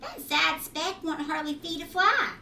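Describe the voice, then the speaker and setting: Lady putting on a bratty country girl from a kids story, rude n whiny, high voice, echoey room. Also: animated, measured pace, gravelly, pushed and bright, exaggerated voice. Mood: cross. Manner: cross, complaining. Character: spoiled, greedy, whining rude country girl. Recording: roomy home recording, distant mic, faint noise.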